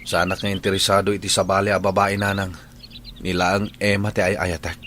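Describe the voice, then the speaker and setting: A man's voice: sits low, flat delivery, fairly quick, close to an everyday voice. A man playing a lovesick, stubborn young construction worker in a radio drama, earnest and plaintive. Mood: earnest, lovestruck.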